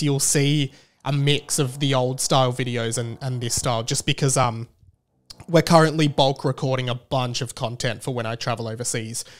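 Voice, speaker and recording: A man hosting a podcast solo in a casual, chatty style, rambling and often interrupting his own sentences. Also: mid-range voice. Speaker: podcast host.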